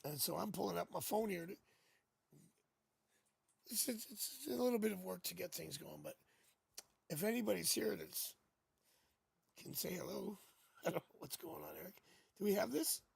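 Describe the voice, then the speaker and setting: A man with a raspy voice hosting a casual live tarot stream, chatting playfully and joking through a new studio microphone. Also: sits high, normal speed, long pauses, no echo.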